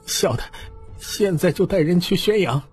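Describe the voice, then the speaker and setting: Man putting on a high, whining shop clerk. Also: animated, moderate pace, a little mellow, breathy edge, soft background music, exaggerated voice. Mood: forced, meek. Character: whining middle-aged male grain shop clerk, close to tears.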